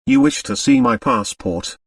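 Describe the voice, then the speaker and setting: A man's voice: medium pitch, huge pitch swings, unhurried, vocal fry, no room sound, studio quality, far from the mic, exaggerated voice. A man voicing a reserved British woman traveller, polite, composed and guarded, in an audiobook.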